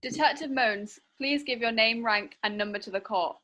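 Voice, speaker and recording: A woman's voice: fairly high pitch, moderate pace, sharp tone, poor audio. A woman playing an officious court clerk in a radio play, formal and commanding. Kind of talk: comic radio play acting.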